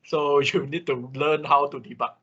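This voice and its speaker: Bright-voiced, slightly husky man teaching online. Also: fairly high pitch, fairly even intonation, moderate pace, dull audio. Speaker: instructor of an online robotics class. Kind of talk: online class question-and-answer. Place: online class over a computer call.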